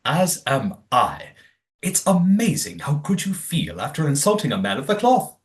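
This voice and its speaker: An actor in an audio play voicing a prim, excitable, sweetly naive old hotel keeper with genteel, old-fashioned exclamations. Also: mid-range voice, normal speed, natural voice. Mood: pleased, prim.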